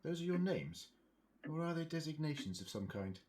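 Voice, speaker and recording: A man's voice: a little high, big pitch swings, normal speed, darkish tone. A man playing a polite, wry, articulate time-travelling doctor in an audio drama. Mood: helpful, curious. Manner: articulate, courteous, precise wording. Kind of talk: fan audio drama acting.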